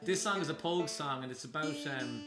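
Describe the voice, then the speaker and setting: A man hosting a music session, chatting casually and praising songs enthusiastically, with halting restarts. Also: on the high side. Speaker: host. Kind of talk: casual chat introducing songs.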